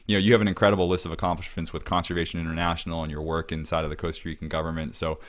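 A man's voice: sits low, level tone, rapid-fire. A man hosting a podcast in an informal, chatty interview style. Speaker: podcast host. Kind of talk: casual interview chat.

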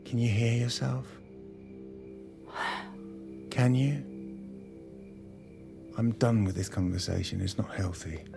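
An actor playing a hurt, angry estranged husband in a naturalistic audio drama, halting and stammering as he repeats himself. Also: fairly low pitch, creaky, music in the background. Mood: angry, exasperated.

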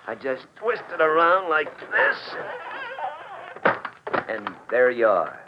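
Tough, slangy gangster, muffled sound. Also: male voice, mid-range voice, sing-song, slow, background noise. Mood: wary. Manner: slangy, terse gangster talk. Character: tough shifty male gun racketeer. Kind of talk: 1940s radio drama acting. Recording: muffled 1940s radio recording.

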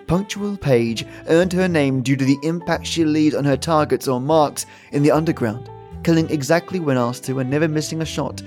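A man's voice: medium pitch, a little mellow. A male podcast host wrapping up an episode of old-time radio. He talks in an ordinary mid-range voice, playful and generous with praise. Faint music plays behind him. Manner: effusive, playful, grateful. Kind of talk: podcast outro with listener thanks.

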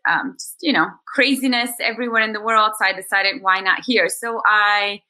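A bright, clear-toned woman in her thirties, originally from Bulgaria, speaks as a guest on a podcast interview. She tells her story in an unhurried, reflective way, circling back with fillers like "you know" and "kind of." Her voice sits at an ordinary pitch for a woman but rings with a forward brightness. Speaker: guest, executive coach.

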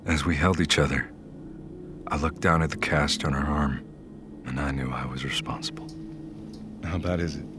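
An actor playing a wounded young survivor in an audio drama, narrating in a grave, brooding, measured way. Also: male voice, steady tone, noisy background. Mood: somber, tender. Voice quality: very deep.

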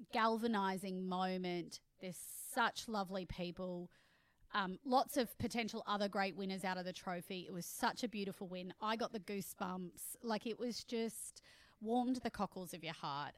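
A woman's voice: medium pitch, normal speed, no room sound. A woman co-hosting a podcast in casual chat, enthusiastic and chatty with many "ums". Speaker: podcast co-host.

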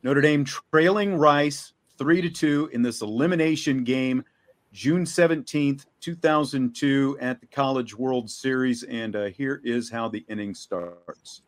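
A man's voice: medium pitch, unhurried. A man hosting a sports talk show, interviewing conversationally and recapping games, rambling a little and repeating words mid-phrase.

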